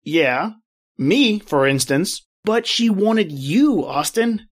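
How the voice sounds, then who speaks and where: An actor playing a breezy, curious pal in an old-time radio drama, folksy, slangy and plain-spoken. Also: male voice, high voice, expressive, slow, heavily acted. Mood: teasing, sly. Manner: folksy, colloquial, inquisitive.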